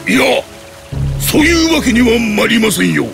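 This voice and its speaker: An actor voicing a dutiful, flustered army sergeant with a gravelly edge and polite manner in a Japanese game. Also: male voice, very high-pitched, sing-song, normal speed, full character voice. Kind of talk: Japanese anime fighting game acting. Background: background music.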